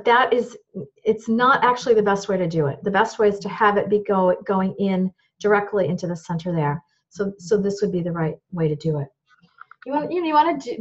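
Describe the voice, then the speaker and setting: A woman voice teacher with a high voice explains microphone use in a plain, practical way, holding up gear as she goes. The sound is a little dull and lo-fi. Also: a little mellow. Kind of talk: instructional talk to camera.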